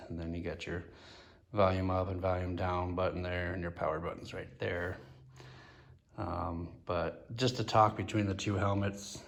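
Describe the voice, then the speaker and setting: Low, monotone man reviewing gear. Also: vocal fry. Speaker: product reviewer. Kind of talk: casual unscripted product review. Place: indoors in a garage or workshop. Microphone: handheld phone or camera, speaker off screen.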